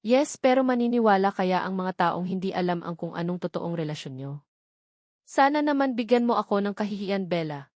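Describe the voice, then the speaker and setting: A woman's voice: mid-range voice, lively intonation, a little fast, a little mellow, no room sound, clean studio sound, natural voice. A woman voicing a serious, jealous male fiancé in a romance audio novel, stern and demanding. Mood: stern, reproachful.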